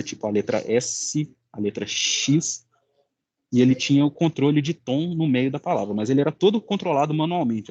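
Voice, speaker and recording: A young Brazilian man giving an informal explanatory presentation as a student, often halting to search for words. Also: medium pitch, darkish tone, no room sound, lo-fi sound. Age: young adult. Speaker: student presenting final project.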